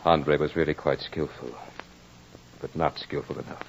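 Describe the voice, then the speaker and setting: An actor playing a proud, battle-hardened soldier in old radio horror drama, with formal, theatrical diction. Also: male voice, extremely low pitch, flat delivery, normal speed, slight background noise. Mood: brooding, remorseful. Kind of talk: 1940s-50s radio horror drama acting. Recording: muffled old-time radio recording with music.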